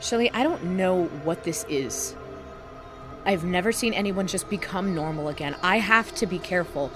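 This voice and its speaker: A woman's voice: fairly low pitch, normal speed, music in the background, lightly acted. An actress playing a wary, anxious woman who survived an alien invasion, in an audio drama.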